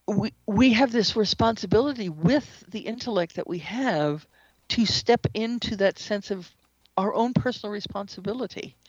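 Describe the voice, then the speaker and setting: A woman speaking as an interview guest, explaining spiritual ideas in an earnest, measured way. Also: mid-range voice, expressive, breathy edge, dry recording, highs cut off. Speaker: interview guest, shamanic practitioner and author.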